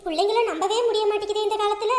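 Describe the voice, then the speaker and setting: An extremely high-voiced village neighbour acted by a woman, anxious and sympathetic. She pleads and consoles urgently in family-drama style, in an echoey room. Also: fast talker, darkish tone. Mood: shocked concern.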